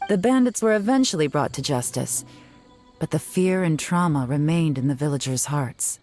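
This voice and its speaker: A woman voicing a cool, confident female investigator who speaks in a calm, measured, teasing way. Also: deep voice, faint music behind. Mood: explaining. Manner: calm, measured, quietly menacing.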